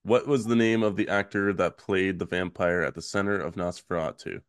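A man with a very low, hoarse, raspy voice, still getting over an illness, reads horror trivia questions aloud at an unhurried pace. The sound is dry and close, with no room around it. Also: no room sound. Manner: reads questions slowly, relaxed filler-heavy talk. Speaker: podcast host. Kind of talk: casual chat, reading trivia questions.

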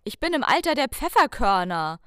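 A woman's voice: a little high, big pitch swings, moderate pace, a bit bright, smooth, no room sound, studio quality. A woman co-hosting a casual podcast, talking playfully and theatrically and addressing listeners directly. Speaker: podcast co-host.

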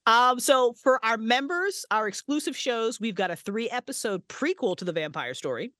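A woman voice actress and writer, a podcast guest, talking excitedly and swearing freely about her projects. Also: a little high, normal speed, no room sound, studio quality. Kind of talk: casual podcast interview. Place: small handmade vocal booth.

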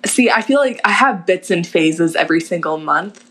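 Easygoing young woman co-host, lower voice, teasing and giggly. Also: expressive, normal speed, a bit bright, husky. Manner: laid-back, teasing, giggly. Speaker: podcast co-host. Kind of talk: casual chat.

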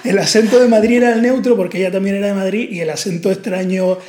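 A man's voice: high-pitched, fairly even intonation, quick pace, background noise. Man, Canarian Spanish accent, casual podcast chat, reflective, hesitant fillers, roomy echo. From Canary Islands. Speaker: podcast co-host. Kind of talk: casual conversation.

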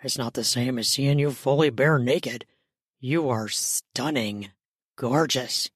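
A woman voicing a lustful, admiring male lover in an audiobook, with a gruff, cocky, muttering delivery. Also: dry recording, clean studio sound, heavily acted. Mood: awed, admiring. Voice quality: low husky murmur. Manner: slow, muttering through clenched teeth.

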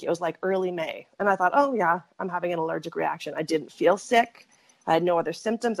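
A middle-aged woman from Saskatchewan tells her story as an interview guest. She speaks at a slightly low pitch, chatting freely, backtracking and correcting herself as she goes. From Canada, Saskatchewan. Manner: chatty, rambling, frequent self-corrections and laughs. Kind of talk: conversational storytelling.

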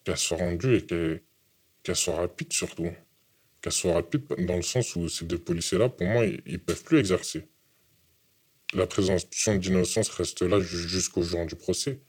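Young man, very low pitch, halting interviewee who keeps repeating words. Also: slightly rough. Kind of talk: testimony interview. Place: hidden from camera.

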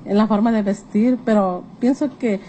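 A woman's voice: medium pitch, steady tone, moderate pace, mellow. A woman with a Mexican accent casually giving her opinions in an interview. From Jalisco, Mexico. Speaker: survey respondent. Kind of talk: casual spoken interview answers. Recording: slightly noisy on-location interview recording.

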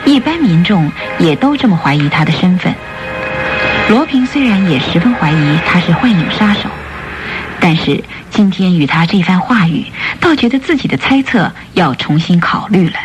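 A woman's voice: on the low side, very animated, normal speed. A woman narrating a radio drama in a scripted storytelling style. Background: music.

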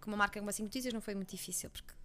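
A young woman journalist with a Leiria Portuguese accent talks quickly and eagerly in an interview. Her speech rushes forward, full of fillers and restarts as she works through her ideas. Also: medium pitch, over loud music. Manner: fast, animated, many restarts and fillers. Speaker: journalist guest. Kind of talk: conversational interview.

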